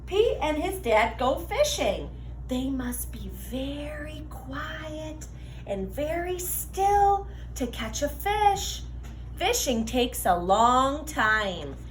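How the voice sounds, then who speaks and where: A woman reading a children's picture book aloud in an animated, deliberate way for young listeners. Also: high voice, roomy sound, slight background noise. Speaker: read-aloud storyteller for children.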